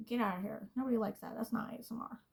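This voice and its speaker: A young woman with a scratchy voice, chatting casually and rambling as she does her makeup, often dropping into soft near-whispers. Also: fairly high pitch, steady tone, racing, gravelly, breathy edge. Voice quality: scratchy smoker's voice. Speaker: beauty vlogger. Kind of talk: casual chat while doing makeup.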